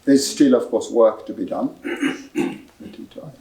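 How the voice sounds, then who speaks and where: A man giving measured, explanatory answers at a library book talk, pausing often with fillers. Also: mid-range voice, warm tone. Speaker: author and speaker at a book talk. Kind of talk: answering audience questions. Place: library event room. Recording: echoey room, voice from a distance.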